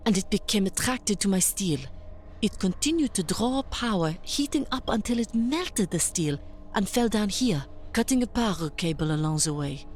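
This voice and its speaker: A woman's voice: mid-range voice, close to an everyday voice. Female scientist, acted by a woman: methodical, formal, curious, mid-range voice, faint noise behind, audio drama. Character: inquisitive, precise woman scientist-engineer.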